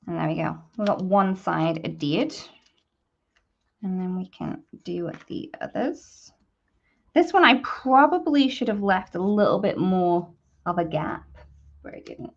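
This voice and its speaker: A woman's voice: on the low side, sing-song, unhurried, gravelly, dull audio. A woman hosting a live craft tutorial, chatting informally to viewers and thinking aloud as she works. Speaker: host of a live papercrafting demonstration.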